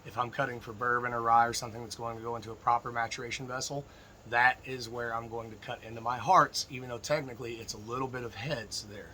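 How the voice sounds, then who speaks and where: A man with a slightly froggy, hoarse voice gives informal, emphatic instruction as a distilling teacher talking to viewers. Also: mid-range voice, steady tone, quick pace, pushed and bright, a little hiss or hum, poor audio. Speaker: distilling instructor and video host. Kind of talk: informal instructional talk to camera.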